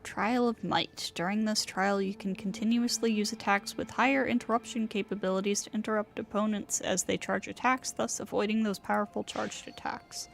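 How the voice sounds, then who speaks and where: A woman giving casual, unhurried commentary on a gaming stream, thinking aloud as she goes. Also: mid-range voice, fairly even intonation, gravelly. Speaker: livestreamer. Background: game music.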